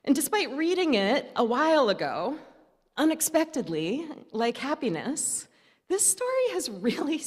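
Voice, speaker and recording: A woman preaching at a church service, telling stories and reading aloud in deliberate phrases with pauses and wry humor. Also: on the high side. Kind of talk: sermon storytelling, reading aloud from notes and a newspaper story. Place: indoor church service, speaking to a congregation. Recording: reverberant church hall.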